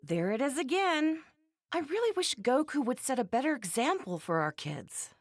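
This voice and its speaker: An exasperated anime housewife and mother with a high, swooping voice. She scolds and frets dramatically in the style of an English-dubbed video game, recorded very dry. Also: big pitch swings, normal speed, dry recording. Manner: fretful, scolding, dramatic complaining.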